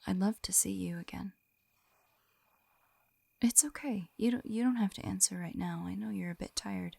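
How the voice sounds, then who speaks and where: A young woman playing a gentle, shy lamia on a first date in an intimate ASMR roleplay, soft-spoken and often whispering, hesitant and tender, close to the microphone. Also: normal speed, no echo. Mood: soothing, affectionate. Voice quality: soft, breathy whispering mixed with low murmurs. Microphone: close-up microphone, dry sound.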